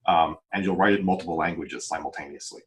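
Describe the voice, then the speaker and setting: A man's voice: deep voice, quick pace. A man in casual panel chat, thinking aloud in a rambling, explanatory way.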